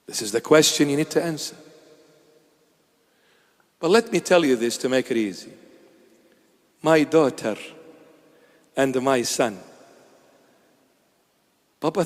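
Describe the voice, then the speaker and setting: A bishop in his fifties preaching a sermon emphatically, dropping low and intense and then rising to exhort. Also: animated, measured pace, long pauses, big-room sound. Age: 50s. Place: church.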